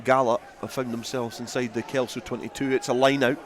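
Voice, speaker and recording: A man calls a rugby match live for radio. He is the play-by-play commentator, working outdoors at the ground with a mid-pitched voice. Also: little pitch movement, a little fast, a faint crowd.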